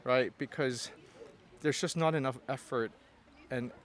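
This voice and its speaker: A man's voice: mid-range voice, unhurried. A man from Hong Kong with a Hong Kong accent, Cantonese his first language, answering interview questions in a thoughtful, hesitant way full of fillers and restarts. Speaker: interview guest. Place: festival interview.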